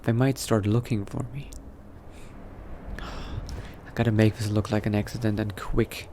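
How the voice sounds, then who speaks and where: A man's voice: on the low side, flat delivery, measured pace, dark tone. A man voicing a cautious, coldly pragmatic new vampire in a roleplay, muttering to himself and often dropping into a whisper. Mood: grim, calculating. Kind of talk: in-character roleplay narration, thinking aloud. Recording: noticeable background noise.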